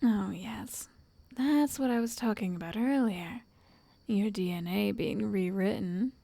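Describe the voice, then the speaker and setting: A woman playing a possessive, lovestruck witch who coaxes and controls in a soft, breathy, often whispered ASMR roleplay voice. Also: mid-range voice, no echo. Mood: musing, smug. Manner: slow, tender, condescending endearments.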